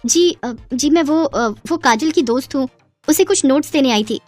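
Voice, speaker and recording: Woman doing a spunky young college girl, lively and bright, cartoon. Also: sits high, moderate pace, no echo, faint music behind, pitched above the performer's own voice. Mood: hesitant. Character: loyal young woman student, bold friend.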